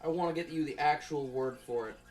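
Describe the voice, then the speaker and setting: Halting, conversational young adult student presenter. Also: mid-range voice, darkish tone. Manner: conversational, rambling, halting, thinking aloud. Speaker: college student presenting an assignment. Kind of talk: informal spoken class presentation.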